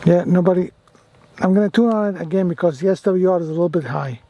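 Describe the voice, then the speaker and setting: A man with a high-pitched voice chats casually to his viewers as a radio hobbyist. He is enthusiastic about his gear and tends to repeat his phrases as he demonstrates it. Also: fast talker, mellow, a little hiss or hum. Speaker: amateur radio vlogger. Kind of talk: casual product demo and radio operating.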